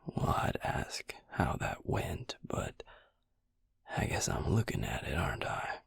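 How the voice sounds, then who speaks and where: A man playing a protective, exasperated vampire in an intimate audio roleplay, speaking slowly and wryly in a low, breathy near-whisper. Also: very animated, no echo, heavily acted. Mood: wry, probing. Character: protective, exasperated male vampire tending a wounded werewolf. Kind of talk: intimate audio roleplay acting, addressed to the listener.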